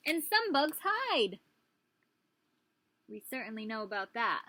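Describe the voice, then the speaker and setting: Lady teacher reading a kids book slowly, bright voice, asks little questions as she goes. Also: fairly high pitch, very animated. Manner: speaking to young children, prompting with questions. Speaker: preschool teacher. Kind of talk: children's picture book read-aloud with questions and asides.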